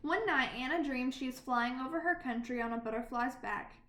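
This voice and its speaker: A woman reads a children's picture book aloud in an animated, deliberate storytelling manner. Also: high voice. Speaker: storybook reader. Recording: roomy, echoing sound.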